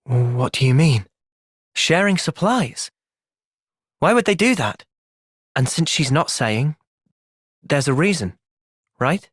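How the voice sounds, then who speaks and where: A young man acting an earnest, thoughtful soldier hero in a video game cutscene, speaking in a measured, sincere way. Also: a little high, very animated, a bit bright, husky, no echo, clean studio sound, heavily acted. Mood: gentle, thoughtful.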